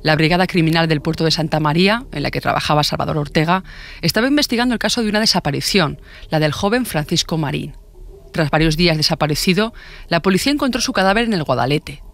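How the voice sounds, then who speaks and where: High-pitched, brisk Spanish male narrator. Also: lively intonation, creaky, fairly bright tone. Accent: Spanish, from Spain. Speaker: podcast narrator. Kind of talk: scripted true-crime narration.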